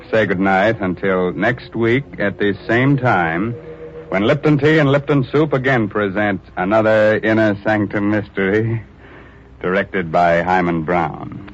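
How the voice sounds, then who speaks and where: A man hosting a 1940s radio horror show, delivering sly, mocking patter with drawn-out words and chuckles. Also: on the low side, slight background noise. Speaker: show host. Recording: dull old radio recording.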